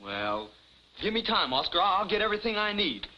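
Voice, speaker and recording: A man playing a naive, boastful small-town young man with a folksy, halting manner in 1950s television comedy. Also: sits high, very animated. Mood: boastful, dreamy. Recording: dull vintage 1950s TV soundtrack, faint noise.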